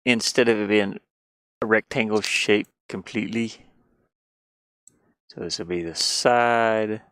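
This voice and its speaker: Male streamer, low-pitched and clear, unhurried and halting, casual and self-deprecating, dry close sound. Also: fairly bright tone, no room sound. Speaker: livestreamer. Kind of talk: casual livestream chat while sketching.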